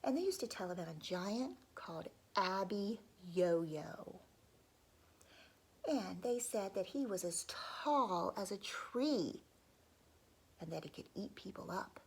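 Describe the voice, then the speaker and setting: A woman reading a folk tale aloud to children, pausing to ask them questions and dropping into whispers. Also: mid-range voice, lively intonation, a little mellow, slightly rough. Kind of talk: children's read-aloud storytelling.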